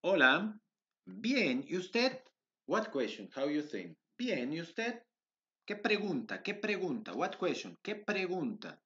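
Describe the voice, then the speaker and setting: A young man teaching a Spanish lesson over a webcam, drilling phrases again and again and switching into halting English. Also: high-pitched, very animated, sharp tone, lo-fi sound. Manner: slow, repetitive drilling of phrases. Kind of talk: online language lesson, mixing Spanish and English.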